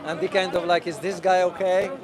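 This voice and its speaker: A man vlogging as he walks a city street outdoors, talking loudly and passionately while answering viewers' questions. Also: high-pitched, flat delivery, a little fast. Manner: loud and passionate. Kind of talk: casual walking vlog answering live questions. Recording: crowded outdoor street noise. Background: street crowd.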